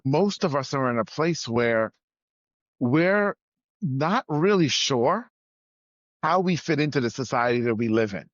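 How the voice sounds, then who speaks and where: A man's voice: fairly high pitch, no echo. A man from Trinidad and Tobago telling his story as a podcast guest, conversational and emphatic, repeating phrases for weight. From Trinidad and Tobago, moved to the US at nine. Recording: slightly dull, lo-fi sound.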